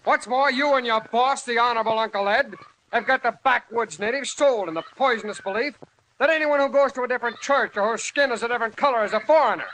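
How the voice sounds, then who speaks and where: An actor playing a bitter, defiant war veteran turned farmer in 1940s radio drama style, blunt, combative and folksy. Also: male voice, very high voice, quick pace, pushed and bright. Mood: angry, accusing. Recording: noisy old-time radio recording.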